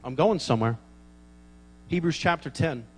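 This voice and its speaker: A man preaching in church, his voice a little higher than most. He tells comic stories and acts out the dialogue as he goes. The sound carries a long church-hall reverb. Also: normal speed, slightly rough. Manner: animated storyteller, acts out dialogue, laughs at own jokes. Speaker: pastor. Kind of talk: sermon with humorous stories.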